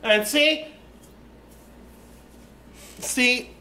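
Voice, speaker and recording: A high, bright-toned American man chats his way through a strategy game, drifting into side rants. His voice echoes around a bare room. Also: very slow. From United States. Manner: rambling, chatty, wanders off topic. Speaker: let's play host. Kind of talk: casual game commentary.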